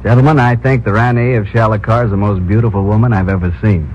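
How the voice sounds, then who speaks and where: Um ator interpretando um prospector de petróleo americano indignado, num drama de rádio dos anos 1950. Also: male voice, lowish voice, fairly even intonation, quick pace, slight background noise, close to an everyday voice. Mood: earnest. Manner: protesting, emphatic. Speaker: radio drama actor. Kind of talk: 1950s radio acting. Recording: dull old radio broadcast recording.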